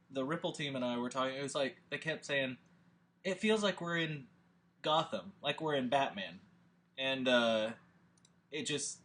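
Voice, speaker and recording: Male host, casual rambling monologue, animated and emphatic delivery, bright forward voice, mid pitch. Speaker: host and scriptwriter introducing a table read. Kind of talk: informal rambling monologue to an audience.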